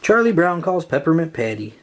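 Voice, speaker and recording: A narrator reading a children's story aloud in an expressive way, acting out the dialogue. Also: medium pitch, brisk. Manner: animated, acts out dialogue.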